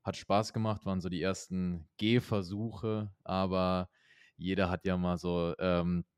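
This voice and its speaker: A young man with a very low voice explains his company fluently and at length, like a startup founder on a business podcast. The recording is dry and close, with no room sound. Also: little pitch movement, a little slow, clear, resonant tone, no room sound. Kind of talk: podcast interview answers.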